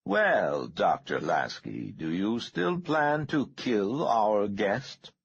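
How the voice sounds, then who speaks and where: A man voicing a courtly, smooth-talking male billionaire with an oily, polite manner. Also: lowish voice, huge pitch swings, takes it slowly, no room sound, muffled recording, voice pushed up, exaggerated voice.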